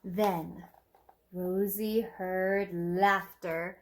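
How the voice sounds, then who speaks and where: A woman teacher reading a picture book aloud to children in an expressive, emphatic storytelling manner with dramatic hushed moments. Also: fairly low pitch, very slow, a little hiss or hum.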